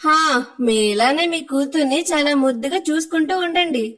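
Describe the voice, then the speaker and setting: A woman voicing a mother and wife who can be caring or sharp-tongued, in a cartoon story. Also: sits high, brisk, natural voice. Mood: sarcastic. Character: sarcastic, scolding village mother and wife. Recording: music behind the voice. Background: music.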